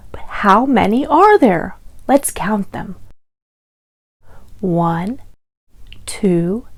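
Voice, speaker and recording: A woman leading a children's storytime, reading aloud in an expressive sing-song way and pausing after questions. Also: mid-range voice, creaky, no room sound. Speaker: library storytime reader. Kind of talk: interactive children's read-aloud.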